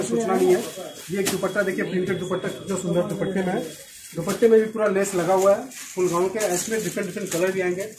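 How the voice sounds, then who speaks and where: A man in his thirties presenting clothing stock on camera in salesman's patter, mixing in English words. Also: high voice. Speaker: garment wholesaler presenting stock. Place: small indoor clothing shop. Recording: clearly noisy shop audio with clicks.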